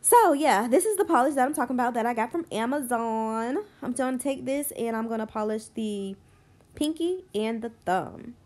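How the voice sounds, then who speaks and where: A woman's voice: a little high, lively intonation, normal speed, a little mellow. A young woman narrates a nail tutorial in a loose, chatty way, full of fillers and asides. Her voice sounds stuffy and congested, as if she has a cold. She speaks close to the mic. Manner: rambling, chatty, lots of fillers. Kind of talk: casual voice-over tutorial.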